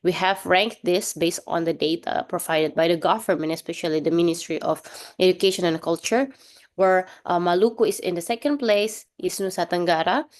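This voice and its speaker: A young woman from Sulawesi with an Indonesian accent, giving an online workshop presentation in an earnest, explanatory way, pausing often with "um" and "uh". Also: lowish voice. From Indonesia, Sulawesi (Toraja). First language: Toraja. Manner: earnest, explanatory, many "um" and "uh" pauses. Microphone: video call.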